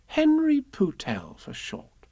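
A man voicing a calm young boy in a children's storybook, matter-of-fact and deliberate. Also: very high voice, dry recording, voice pushed up, full character voice. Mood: musing, playful.

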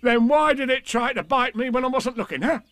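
A man's voice: very high-pitched, a little fast, fairly bright tone, no echo, voice pushed up. A man voicing a bouncy, boastful, cheerful young animal in a children's storybook, with exuberant, giggling delivery. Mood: indignant, boastful.

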